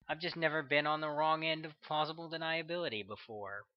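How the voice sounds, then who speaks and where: A man's voice: fairly high pitch, steady tone, a little fast, voice pushed up, lightly acted. A man voicing a cynical female federal agent who speaks in a dry, knowing, matter-of-fact way, on a slightly muffled audiobook recording.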